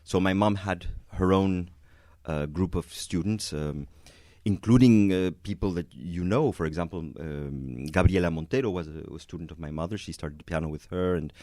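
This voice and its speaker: A man's voice: sits low, moderate pace, mellow, no room sound, studio quality. A man from Venezuela, a concert pianist, answering interview questions in a hesitant way, with many restarts and light chuckles.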